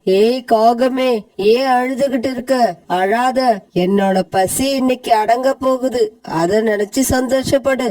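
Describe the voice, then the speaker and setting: A woman's voice: a little high, dark tone, dry recording, far from the mic, lightly acted, low-quality recording. Woman doing a gloating eagle predator. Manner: gloating, slow and threatening. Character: menacing hungry male eagle predator. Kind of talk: cartoon voice acting.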